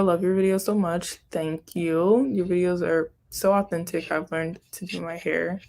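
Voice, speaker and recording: A young woman, born in Haiti and raised in Florida, chatting casually as she answers viewer questions, rambling and second-guessing herself. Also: lowish voice. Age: young adult. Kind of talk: casual chat.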